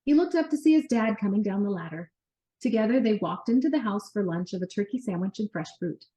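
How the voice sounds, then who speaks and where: A woman narrating a children's book as an expressive, animated read-aloud storyteller. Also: mid-range voice, brisk.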